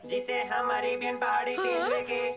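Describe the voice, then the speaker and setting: A woman voicing a small helpful robot assistant in a children's cartoon, with formal, measured, factual announcing. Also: on the high side, expressive, over loud music, lightly acted. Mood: matter-of-fact. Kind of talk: animated children's cartoon dub.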